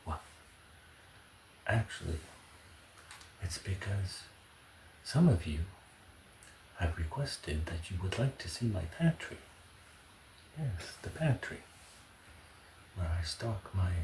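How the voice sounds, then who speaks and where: Male ASMR host, low register, very unhurried delivery, murmured asides and hums; echoey home recording with audible noise. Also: darkish tone. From Canada. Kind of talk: ASMR, casual cooking chat. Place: indoors at home kitchen. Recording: roomy echo, clear background noise.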